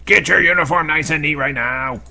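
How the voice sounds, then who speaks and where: A man's voice: fairly high pitch, brisk, creaky, bright, forceful tone, voice pushed up, heavily acted. A man voicing a bossy adult female camp leader who gives orders in a commanding, scolding way.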